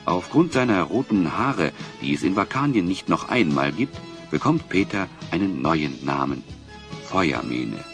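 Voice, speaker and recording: A male storyteller delivers scripted, dramatic narration for a fantasy audio play. His intonation is animated and wide-ranging. Music plays clearly behind the voice. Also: deep voice, measured pace.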